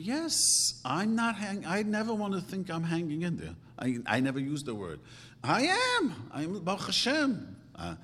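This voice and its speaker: Man, preaching. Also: big-room sound. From grew up speaking Yiddish and Hungarian. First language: Yiddish. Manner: emphatic, swings from low asides to loud outbursts. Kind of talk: sermon.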